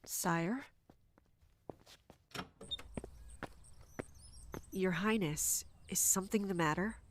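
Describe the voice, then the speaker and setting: A woman's voice: fairly low pitch, measured pace, a little hiss or hum, natural voice. A woman playing a dutiful, guarded royal guard captain in an audio drama, speaking formally and deferentially. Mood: dutiful, cautious.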